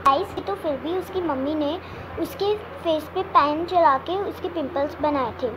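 Very high-pitched young girl. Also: unhurried, background noise. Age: child, under twelve. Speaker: host of children's story channel. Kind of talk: addressing viewers, presenting a moral story. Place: small home room indoors. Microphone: phone at arm's length.

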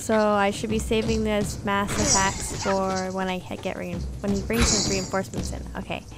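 A woman commentates on a video game in a casual, chatty way. Her medium-pitched voice has a noticeable creak, and she thinks out loud as she goes. Music plays behind her. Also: little pitch movement, moderate pace.

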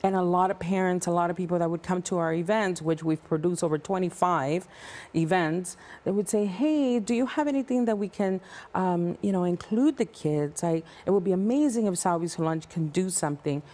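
A woman in her thirties from El Salvador, a nonprofit founder talking passionately as a guest in a TV news studio on a lapel mic. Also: on the low side. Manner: passionate, fast-flowing, animated hand gestures. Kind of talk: TV studio interview.

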